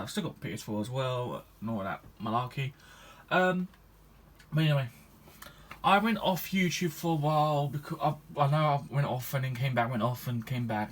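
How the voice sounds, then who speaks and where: A 21-year-old man talks casually to his gaming channel's viewers. His voice sits at an ordinary mid pitch, and he rambles with lots of ums and false starts. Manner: rambling, hesitant, many restarts and ums. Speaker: gaming YouTuber. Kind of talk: casual vlog chat to viewers.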